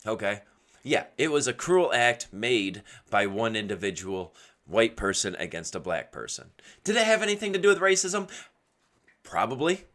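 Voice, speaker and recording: Animated man with a strained, bright voice. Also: fairly low pitch, normal speed. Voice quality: strained voice from yelling. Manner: emphatic, asking and answering own rhetorical questions. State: losing his voice. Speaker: podcast host. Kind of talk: opinionated casual solo podcast monologue.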